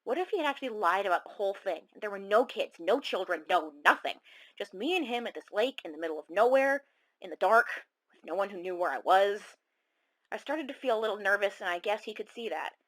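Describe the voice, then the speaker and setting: Casting: actress as haunted female caller, radio horror drama, mid pitch, confiding and dramatic. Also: lively intonation, fairly quick, natural voice, rough recording. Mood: uneasy. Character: shaken young woman caller recounting a monster encounter. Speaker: caller telling her story. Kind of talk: first-person horror storytelling, radio show audio drama.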